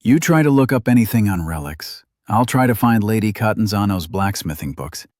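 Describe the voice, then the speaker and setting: Male narrator as young male hero, cocky, flippant, casual, animated intonation, fantasy audiobook. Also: on the low side, moderate pace, dry recording, clean studio sound, lightly acted. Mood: flippant, upbeat.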